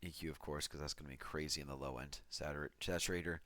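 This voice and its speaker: Deep-voiced man in casual music breakdown. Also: fairly even intonation, fast, a bit bright, no echo. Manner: offhand, self-deprecating. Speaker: electronic music producer. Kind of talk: casual track breakdown commentary. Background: music from the track being played.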